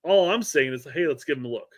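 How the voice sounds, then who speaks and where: A man's voice: on the high side, animated, fast talker. A man hosting a casual podcast chat, often restarting his sentences and agreeing readily. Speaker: host.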